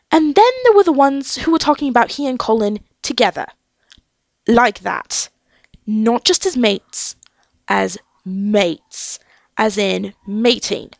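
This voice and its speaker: A woman narrates fiction in a very animated voice that swings widely in pitch and often frays into vocal fry. She gives key words wry, dramatic weight, and the sound is close and dry. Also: no room sound. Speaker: amateur fan fiction reader. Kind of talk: expressive scripted story narration.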